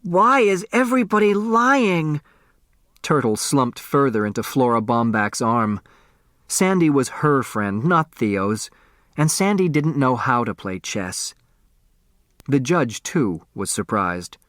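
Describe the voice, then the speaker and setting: A man's voice: medium pitch, animated, slow pace, a bit bright, dry recording, studio quality. A man reading a children's mystery novel as scripted narration. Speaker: audiobook narrator.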